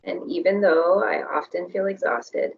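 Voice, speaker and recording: A lower-pitched woman with a hoarse, gravelly voice, speaking candidly with some hesitant restarts. She sounds distant and dull, as if she were on a video call. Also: fairly even intonation, a little slow. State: voice going hoarse. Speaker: parent sharing her experience, tapping echo. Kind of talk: casual conversation, then repeating tapping phrases.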